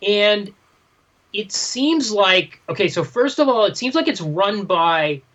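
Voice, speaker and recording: Male co-host, high-pitched voice, questioning think-aloud conversational style, on a slightly muffled lo-fi line. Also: lively intonation, takes it slowly, a bit bright. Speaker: podcast co-host. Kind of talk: casual discussion of a graphic novel.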